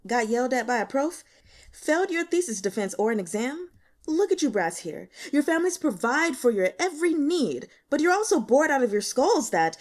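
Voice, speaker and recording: Woman voicing a brash, lively male boss. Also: high voice, normal speed, voice pushed up. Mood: brash scolding. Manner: flippant, scolding, casually bossy. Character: brash, cocky male boss, teasing and lecturing.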